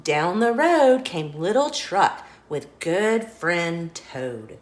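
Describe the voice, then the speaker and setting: A woman reading a children's picture book aloud as an expressive, measured storyteller. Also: lowish voice. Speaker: storyteller, host of a children's read-along show. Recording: roomy echo.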